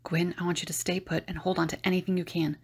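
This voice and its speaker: A woman's voice: lowish voice, level tone, fast talker, vocal fry, breathy edge. A woman voicing a bossy, exasperated woman adventurer in an audio drama, speaking with urgency. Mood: scolding. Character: Ali, brisk exasperated woman adventurer on a mission.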